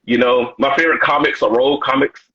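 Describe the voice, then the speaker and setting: A bright, husky-edged male voice tells loose, slangy stories full of "you know" and "man". He is a stand-up comedian from Washington, D.C., in his thirties, talking as a guest. The audio is dull and lo-fi. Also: mid-range voice, steady tone, brisk. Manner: laid-back slangy storytelling with frequent "you know". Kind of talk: casual interview chat.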